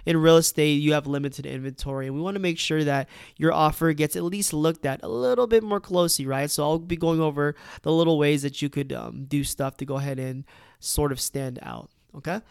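A man's voice: fairly high pitch, a little fast, creaky, clear, resonant tone, dry recording, studio quality. A man, a real estate agent, casually explaining home buying, often checking in with "right?" and "okay?". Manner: conversational, peppers with "right?" and "okay?".

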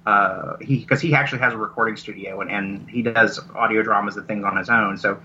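A man talking casually as an interview guest, explaining his audio drama work in a halting, rambling, self-correcting way. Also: on the low side, steady tone, pushed and bright, slight background noise. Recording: dull lo-fi connection.

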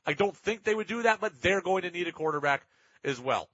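A man's voice: sits high, animated, fairly quick, a bit bright, no room sound, muffled recording. A man hosting sports talk radio, giving opinionated commentary in an emphatic way and repeating points for effect.

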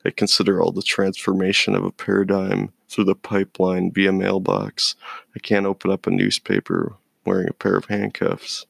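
Very gravelly, low-voiced man reciting poetry. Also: normal speed, a bit bright, no room sound. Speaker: poet. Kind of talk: spoken-word poetry recital.

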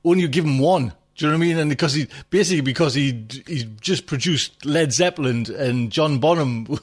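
A man hosting a podcast, casually telling a rock music anecdote in a chatty storyteller's way and signing off for the week. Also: sits high. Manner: lively, chatty storyteller with laughs and asides. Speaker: podcast host.

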